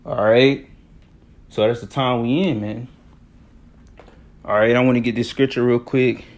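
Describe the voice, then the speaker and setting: A man's voice: medium pitch, fairly even intonation, unhurried. A man from the United States giving informal, slangy commentary on news and scripture, often punctuating his talk with filler phrases. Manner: conversational, slangy, repeats "all right" and "you know". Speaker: religious commentator.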